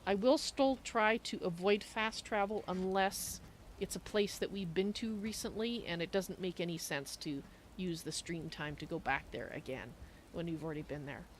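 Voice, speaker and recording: A woman's voice: on the low side, a little hiss or hum, rough recording. A woman doing casual livestream commentary while playing a roleplay game.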